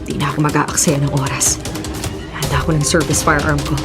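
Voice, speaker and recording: A woman acts out a fearless, duty-bound policewoman in a radio melodrama. Her voice is fairly low and firm, spoken with dramatic resolve over clearly audible music. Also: flat delivery, a little fast, lightly acted. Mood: tense, wary. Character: determined, dutiful female police officer, fearless.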